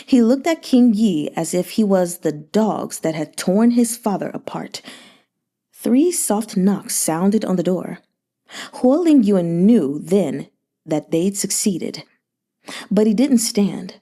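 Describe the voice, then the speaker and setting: Expressive woman reading a novel aloud. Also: medium pitch, takes it slowly. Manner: expressive, with asides to the listener. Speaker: audiobook reader.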